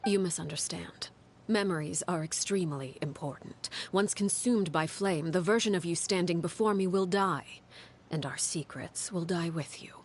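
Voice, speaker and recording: An actress playing a stern, composed woman in authority, speaking in a measured, formal and commanding way in a dramatic video game. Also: on the low side, a little hiss or hum, lightly acted. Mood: cold, solemn. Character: stern, composed woman who rules an orphanage as 'Father'. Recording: music under the voice.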